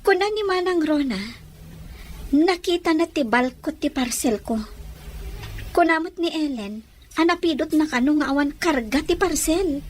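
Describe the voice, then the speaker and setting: An earnest, loyal young woman in love, as an actress plays her in a radio drama. Her voice is distinctly high, with sincere dramatic delivery. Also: expressive, moderate pace, pauses often, a little hiss or hum. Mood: dismayed. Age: young adult. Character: sincere, loyal young sweetheart, upset but trusting.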